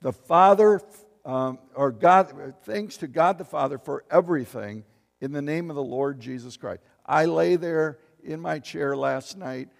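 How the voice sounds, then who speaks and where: Gravelly seventy-something pastor in a reverberant hall. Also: male voice, a little high. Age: 70s. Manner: reads scripture aloud, asks the congregation questions. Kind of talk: sermon. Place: church sanctuary.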